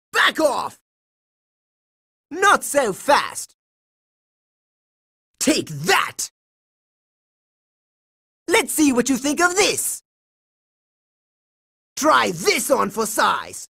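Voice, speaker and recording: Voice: high-pitched, gravelly, pushed and bright, dry recording, studio quality, heavily acted. An actor voicing a bossy, earnest boy who leads kid operatives in a cartoon video game, clipped, commanding and melodramatic. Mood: combative.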